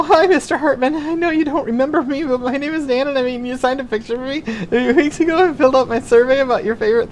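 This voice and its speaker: A man's voice: very high voice, darkish tone, slight background noise, voice pushed up. A man voicing a nervous, gushing eighteen-year-old male fan who rambles eagerly in an audiobook memoir. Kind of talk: acted dialogue in an audiobook memoir.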